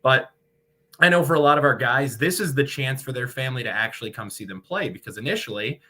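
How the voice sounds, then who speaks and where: Bright-voiced American male sportscaster. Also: medium pitch, expressive, fast, a little hiss or hum. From Michigan, United States. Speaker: sportscaster, radio play-by-play broadcaster, interview guest. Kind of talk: casual interview talk.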